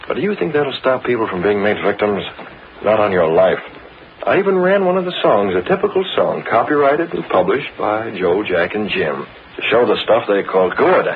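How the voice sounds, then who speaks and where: A man's voice: fairly low pitch, expressive, normal speed, natural voice. A man playing a cool, restless newspaper boss with a secret, in a vintage American radio drama. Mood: indignant. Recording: narrow-band, noisy old radio recording.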